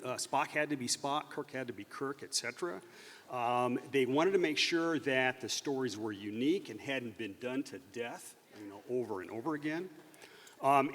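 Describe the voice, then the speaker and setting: A man's voice: mid-range voice, a bit bright. A male panelist with a mid-range voice explains from a stage in a reverberant hall. His delivery is hesitant and full of small qualifying asides. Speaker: author and panelist. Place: convention stage before an audience. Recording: echoey hall, stage sound system.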